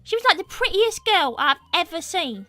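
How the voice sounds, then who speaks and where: A woman's voice: very high-pitched, big pitch swings, moderate pace, bright, forceful tone, no room sound, faint music behind, full character voice. A woman voicing a brash, gossipy young cartoon animal girl with a British accent, cheeky and exclamatory in improvised chat. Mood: gossipy, awed. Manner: cheeky, exclamatory, swearing mildly. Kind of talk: improvised in-character livestream chat.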